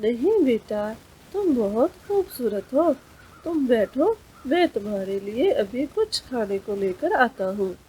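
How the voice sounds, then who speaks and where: Reassuring storybook father rooster, female performer, high register, noisy recording. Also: sing-song, slow, mellow. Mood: gentle, kindly. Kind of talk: cartoon storybook voice.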